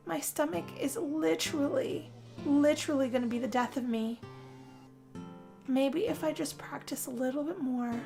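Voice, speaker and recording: A woman's voice: sits high, vocal fry, heavily acted. A woman voicing a moody, weary young woman in a first-person dramatic role-play, with a sighing, confessional delivery. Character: young woman Yazmin, moody, weary, being turned vampire. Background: guitar music.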